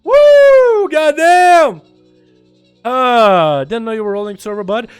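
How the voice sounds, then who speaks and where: Excitable streamer with a slight Russian accent. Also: male voice, very high-pitched, slow pace, clear, resonant tone, faint music behind. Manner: excitable, hollers and swears when thanking viewers. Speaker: streamer hosting duels. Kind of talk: live game stream banter.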